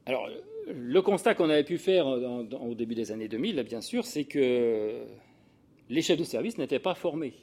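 A man in his sixties from the Paris region speaks as a sociologist to an audience of professionals. His voice sits at an average male pitch. He talks informally and haltingly, interrupting himself, repeating words and drifting into personal asides. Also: animated, darkish tone. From Paris region, France. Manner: hesitant, digressive delivery with self-interruptions and repeated words. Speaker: sociologist giving a talk to social work professionals. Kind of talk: informal lecture with personal anecdotes.

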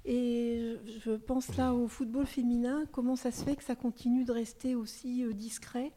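A woman's voice: fairly high pitch, little pitch movement, normal speed, warm tone. A woman in the audience putting a question to the author, tentative and searching for her words. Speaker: audience member asking a question. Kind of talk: question from the floor.

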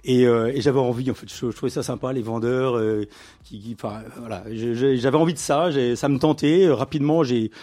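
A man's voice: medium pitch, a little fast, warm tone. Man, middle-aged, interview guest, conversational, hesitant, frequent fillers. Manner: hesitant, many fillers and restarts, livens up when amused. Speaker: guest, business owner.

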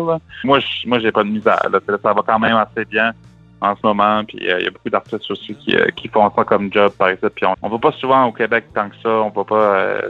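A man's voice: on the low side, no room sound. A young man from northern New Brunswick with an Acadian French accent, chatting loosely as a musician guest and mixing English words into his talk. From northern New Brunswick, Canada. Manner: relaxed, loose, many fillers, English words mixed in. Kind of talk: casual interview answers. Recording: tinny phone-like, dry, faint music.

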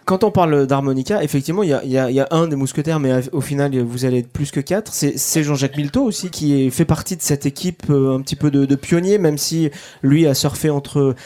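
A man with a slightly raised yet mellow, warm voice hosting a radio interview. He builds long questions slowly, pausing and restarting as he goes. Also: fairly high pitch. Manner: halting questions with frequent hesitations. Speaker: radio host.

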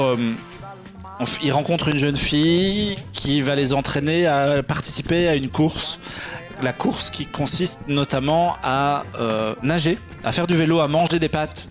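A man co-hosting a podcast, giving a casual, slangy film review and often searching for his words. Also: fairly high pitch. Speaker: podcast co-host reviewing films.

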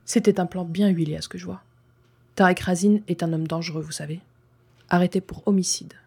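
Police inspector played by a woman, audio drama. Stern, measured, lowish husky voice, soft-edged tone. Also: steady tone. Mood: stern, probing. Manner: formal, measured, probing. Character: stern, formal female police inspector questioning a suspect.

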